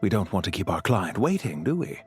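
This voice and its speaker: An actor playing a grandiose, condescending villain mastermind in a video game, speaking in a measured, theatrical way. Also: male voice, low voice, big pitch swings, a little mellow, faint music behind. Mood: smug menace. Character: male villain, cool, grandiose, scheming mastermind commander.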